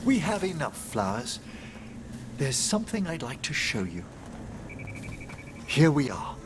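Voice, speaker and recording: Game role: gentle scholarly necromancer, eloquent and courtly, mid-range voice, clear background noise. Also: male voice, mid-range voice, sing-song. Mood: reflective. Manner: formal, measured, eloquent. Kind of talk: video game dialogue acting.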